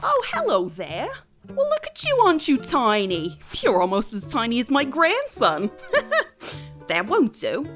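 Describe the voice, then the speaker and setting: Swooping, high doting wine deity. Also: female voice, huge pitch swings, measured pace, fairly bright tone, faint music behind, full character voice. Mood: doting, delighted. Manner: coaxing, playful, doting, theatrical. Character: flamboyant, motherly goddess of wine and feasting. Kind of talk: fantasy audio roleplay acting.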